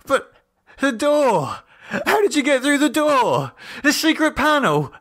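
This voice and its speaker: Frantic, high-pitched terrified man. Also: sing-song, a little slow, a bit bright, heavily acted. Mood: suspicious, disbelieving. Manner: frantic, sweary, stammering. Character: terrified, raving, blinded man trapped in a dark cellar. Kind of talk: audiobook character voice.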